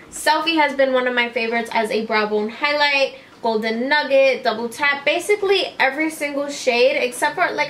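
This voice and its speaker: A woman's voice: a little high, a bit bright, slight background noise. A woman in her twenties reviewing makeup to camera in a casual, gushing way, saying "like" often, in a small room. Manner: gushing, upbeat, heavy use of "like" and "obsessed". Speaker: beauty vlogger. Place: small indoor room with string lights.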